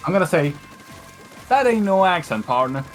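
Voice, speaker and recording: A man's voice: sits high, sing-song, moderate pace, soft background music. A man whose first language is not English, chatting animatedly on a gaming stream and often searching for words. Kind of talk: casual gaming chat.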